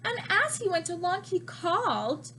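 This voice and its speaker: A woman teacher reading aloud to young children, expressive and exaggerating her emphasis. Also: extremely high pitch, a little slow, slight background noise.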